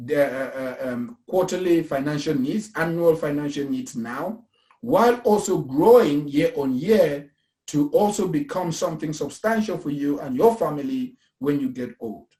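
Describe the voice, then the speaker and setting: A man's voice: a little high, moderate pace, dark tone. A man in his thirties from Cameroon, talking earnestly about investing and pensions, repeating his points with preacher-like emphasis. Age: 30s. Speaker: investment company founder. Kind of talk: casual pitch talk. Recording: echoey room.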